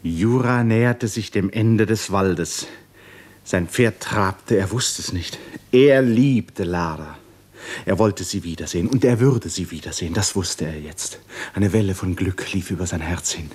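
An actor as the grave narrator of a 1950s German radio drama, telling the story in a measured, literary manner. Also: male voice, low voice, a little mellow, breathy edge. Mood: solemn.

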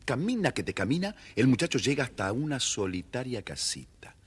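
A man's voice: mid-range voice, very animated, fairly quick, husky. A man narrating a scripted children's story expressively.

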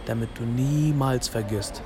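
A man's voice: medium pitch, a little slow, over a noisy crowd, close to an everyday voice. An actor playing a bitter young man from an immigrant neighbourhood in a radio drama monologue, speaking in rhythmic short phrases with insistent repetition. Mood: sad, resigned. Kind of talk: literary radio drama monologue, spoken-word delivery.

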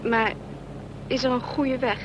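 An actress playing a quick-witted female accomplice who can turn theatrical, in a radio play. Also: fairly high pitch, fairly even intonation, highs cut off, natural voice. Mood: probing, urgent. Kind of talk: Dutch radio play acting. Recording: noisy old radio play recording, vehicle drone.

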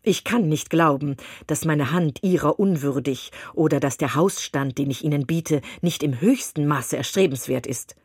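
A woman's voice: sits low, moderate pace, hardly any pauses, darkish tone, breathy edge, no room sound, studio quality. Mr Collins, a woman reading a pompous clergyman suitor. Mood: insistent. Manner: pompous, long-winded, condescending. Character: pompous self-satisfied male clergyman suitor. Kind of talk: audiobook reading of a classic novel.

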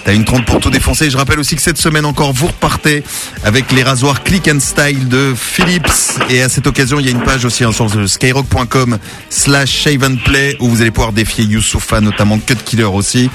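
A male radio host keeps things moving at a brisk clip in the studio. His voice sits in a middle register, and he is chatty and quick. A music bed plays clearly underneath. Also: medium pitch. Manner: brisk, chatty, reads sponsor plugs. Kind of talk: upbeat radio hosting.

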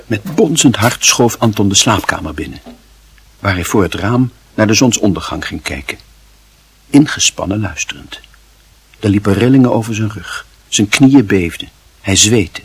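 A male narrator reads a literary story with drama. His voice is lowish and very husky, and it moves through a wide, animated range of pitch. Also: normal speed.

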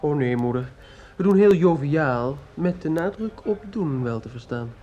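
A man's voice: fairly high pitch, lively intonation, unhurried, dark tone. A young man acting in a radio play as a student son, dryly ironic and formally polite to his mother. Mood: sardonic. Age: young adult. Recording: dull, muffled radio recording.

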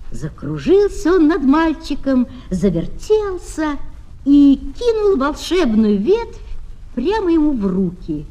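A woman narrating scripted fairy tales for children in an expressive storybook manner. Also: high voice. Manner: expressive, unhurried storybook delivery. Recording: vintage children's flexi-disc with music. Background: music.

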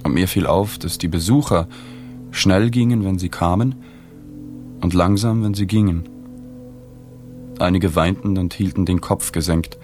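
Male journalist-narrator, radio drama: low-pitched, restrained, matter-of-fact, light music underneath. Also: unhurried, pauses often, darkish tone. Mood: sober, reflective. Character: reserved, observant male journalist recounting a sad story. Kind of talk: literary first-person narration, radio drama.

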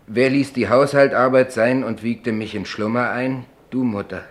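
A man playing a naive, earnest small-town greeting-card poet in a German radio play, explaining himself in a guileless, unhurried way. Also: mid-range voice, fairly even intonation, close to an everyday voice. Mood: earnest, proud.

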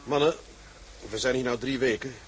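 An actor playing an earnest, weary sea captain in a radio drama, speaking in a sober, measured, plain way. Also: male voice, mid-range voice, fairly even intonation, slight background noise, close to an everyday voice, poor audio. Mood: resolute. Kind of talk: Dutch radio drama acting.

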